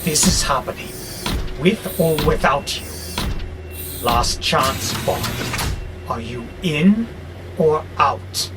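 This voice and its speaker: High-voiced, grandiose robot rebel played by a man. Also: animated. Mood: impatient. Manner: grandiose, slow and theatrical, exasperated. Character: arrogant, frustrated male warehouse robot plotting war on humans. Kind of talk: audio drama acting. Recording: clearly noisy background hum.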